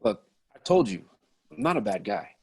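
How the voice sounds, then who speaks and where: A man's voice: on the low side, moderate pace, vocal fry, husky, exaggerated voice. A man voicing a young man at a party in an amateur table read, sounding exasperated. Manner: animated, exasperated.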